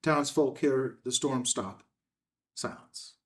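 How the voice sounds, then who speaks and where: A man's voice: medium pitch, slow. A man reading screen directions as the narrator, in scripted narration. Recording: distant mic.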